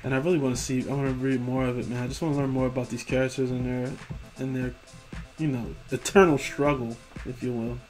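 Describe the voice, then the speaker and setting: A man's voice: medium pitch, flat delivery, quick pace, a little mellow, faint music behind, low-quality recording. A young man rambling casually about collectible figures, profane and tired after work, tripping over names. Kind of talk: casual commentary over screen images. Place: home room.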